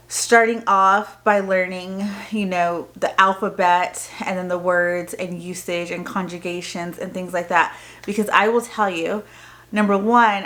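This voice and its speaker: A woman's voice: mid-range voice, a bit bright. An American woman with a mid-range voice speaks as the host of a casual homeschooling vlog. She explains things in a chatty, informal way. Her sentences wander a little as she goes. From Texas, United States. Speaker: homeschooling mother and video host. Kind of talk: casual vlog product talk to camera.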